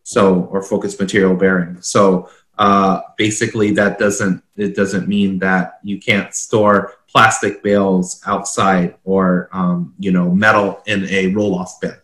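A man's voice: sits low, flat delivery, measured pace, fairly bright tone. A man around his forties explaining standards informally as a consultant on a video call. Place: home office, indoors, on a video call. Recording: roomy, echoing video-call audio.

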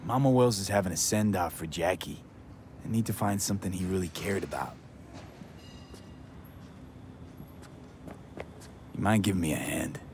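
A man playing a young street mercenary in a video game, blunt but caring, with a terse, casual, low-key delivery. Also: fast, a little mellow, a little hiss or hum, lightly acted. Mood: casual, sympathetic. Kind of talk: naturalistic video game acting. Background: music in the background.

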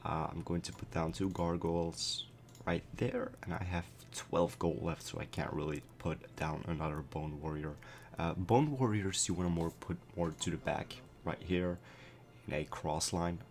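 A man's voice: low-pitched, normal speed, a little mellow, slight background noise. A young man commenting casually on his gameplay at night, his voice cracking and strained after a concert, with many fillers as he explains.

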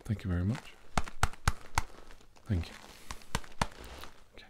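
A man playing a hesitant, softly reassuring doctor in an ASMR exam roleplay, speaking in a hushed, halting murmur. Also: low voice, heavy background noise. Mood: gently reassuring. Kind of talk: ASMR medical roleplay, soft-spoken and whispered.

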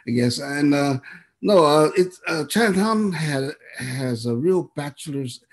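An older American man shares memories informally on a video call. He has an average-pitched voice and a rambling, halting delivery, often repeating words as he gathers his thoughts. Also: darkish tone. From San Francisco, United States. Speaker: guest speaker, artist and storyteller. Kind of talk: informal reminiscing.

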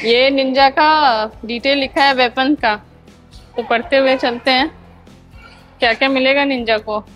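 A woman in her thirties giving a chatty travel vlog tour with a lapel mic, pausing with "uh" as she explains things to viewers. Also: high voice, fairly even intonation, fairly bright tone, highs cut off, soft background music. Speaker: travel vlogger. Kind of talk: casual travel vlog narration. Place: outdoor theme park. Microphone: clip-on lapel mic.

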